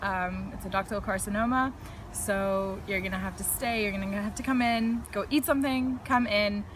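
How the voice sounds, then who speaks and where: A woman's voice: medium pitch, fairly bright tone, slight background noise. A woman in her thirties from South Africa, telling her story as a guest in a casual interview, frank and chatty. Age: 30s. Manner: frank, chatty, laughs lightly while recounting.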